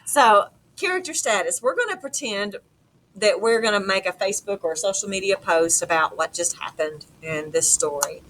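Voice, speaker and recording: A woman's voice: mid-range voice, expressive, normal speed, a bit bright, low-quality recording. A middle-aged woman teaching a lesson in an informal, explanatory, conversational way. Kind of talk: conversational lesson walk-through. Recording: clear background noise.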